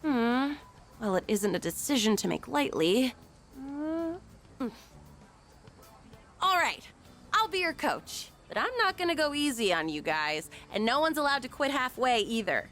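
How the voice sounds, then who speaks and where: A woman's voice: sits high, big pitch swings, unhurried, a bit bright, soft background music, heavily acted. A woman playing an upbeat, sporty teenage girl who coaches kids firmly, in an anime game dub. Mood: hesitant, then firm. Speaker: student volunteer coach.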